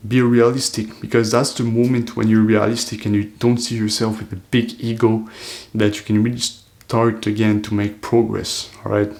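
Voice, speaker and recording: A man's voice: lowish voice, little pitch movement, normal speed, hardly any pauses, a little mellow. An eighteen-year-old man talking to camera in an earnest, loose, reflective monologue, full of "like" fillers. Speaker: self-improvement vlogger.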